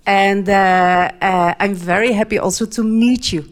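A middle-aged Dutch woman with a Dutch accent, giving an earnest talk in English in short phrases, pausing for an interpreter. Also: medium pitch, fairly even intonation. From Netherlands. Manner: earnest, pauses often between short phrases. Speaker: speaker.

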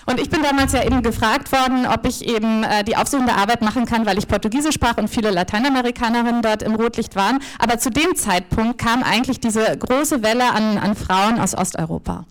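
A woman's voice: on the high side, brisk, few pauses. A woman interpreting a talk consecutively, hesitating often with fillers and restarts. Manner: hesitant, many fillers and restarts while translating.

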